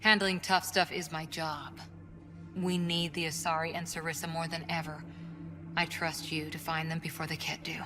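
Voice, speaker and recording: Earnest low-voiced woman soldier, played by an actress. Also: flat delivery, normal speed, close to an everyday voice. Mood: confident. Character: earnest, capable woman soldier, respectful second-in-command. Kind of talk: video game cutscene acting. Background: loud game music.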